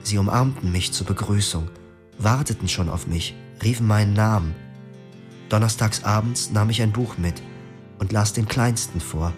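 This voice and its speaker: A man's voice: fairly low pitch, normal speed, over music, lightly acted. An actor narrating as a weary young man in a German radio drama. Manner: subdued, reflective. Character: weary young man telling his own story.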